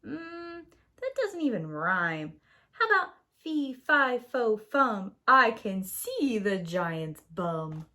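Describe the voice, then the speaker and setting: Cheeky storybook boy, voiced by a woman: high, lively swoops, chatty and quick to argue. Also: heavily acted. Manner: cheeky, argumentative.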